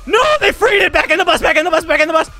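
A young man, chatting excitedly through a role-play game and repeating phrases in frantic bursts. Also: very high voice, rapid-fire. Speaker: gaming video creator playing a role-play game. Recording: music behind the voice.

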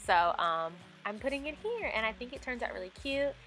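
A woman's voice: fairly high pitch, animated, fairly quick, a bit bright, rough recording. A young woman narrating her home decorating vlog in a casual, upbeat voice-over, full of ums and likes. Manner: chatty, upbeat, with fillers like um and like. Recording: faint music underneath.

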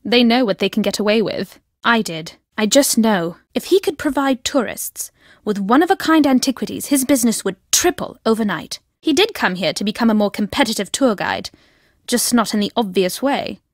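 Fervent woman with a sacred duty, higher-pitched, dry close sound, solemn and deliberate, video game character. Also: expressive, no room sound. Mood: guarded, firm. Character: secretive, fervent Egyptian woman guarding her family's sacred destiny.

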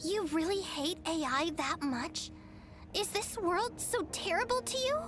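An outspoken anime girl in a video game. Her voice is very high and swings expressively, earnest and spirited, over music. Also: animated, measured pace. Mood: earnest, puzzled.

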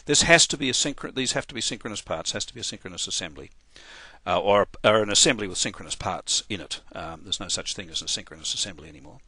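A man with an Australian accent walks through a software demo as a trainer, pausing often with "uh" and restarting his sentences. His voice sits low but sounds bright and forward. The recording is close and dry. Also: animated, breathy edge, no room sound. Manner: casual, halting, many fillers and restarts. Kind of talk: screen-recorded software tutorial.

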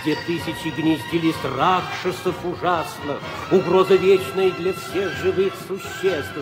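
A man narrating epic verse with solemn, measured declamation in an old audio drama. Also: a little high. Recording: old recording with loud music.

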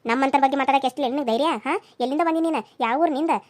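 A woman's voice: high-pitched, racing, close to an everyday voice. A woman voicing a spiteful, domineering older mother-in-law, a melodrama villain with a North Karnataka Kannada accent. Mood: threatening.

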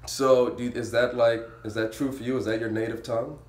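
A man's voice: mid-range voice, little pitch movement, a little fast, darkish tone. A man in his thirties hosting a casual interview in an informal, teasing way. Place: living room at home. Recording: echoey room.

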